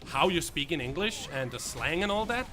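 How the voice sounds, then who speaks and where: A man's voice: a little high, animated, a little fast, sharp tone. A young man with a Danish accent, whose first language is Danish, streaming a game and chatting with viewers in a rambling way with many fillers and restarts. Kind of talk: live gameplay commentary, chatting with viewers.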